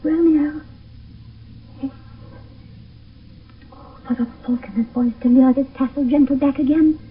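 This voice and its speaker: An old, tinny radio play with a distant sound. a lovestruck British maiden, high and breathy, speaking verse with fervent, anxious tenderness. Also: female voice, fairly even intonation, measured pace. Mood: longing. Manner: theatrical, fervent verse delivery. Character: Juliet, lovestruck young maiden, ardent and anxious. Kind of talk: 1970s classical radio drama verse acting.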